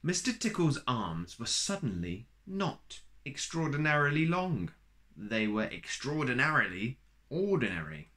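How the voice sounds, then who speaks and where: A man reading a children's picture book aloud in a slow, playful storytime manner, adding little asides to listeners. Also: mid-range voice, very animated, bright, forceful tone.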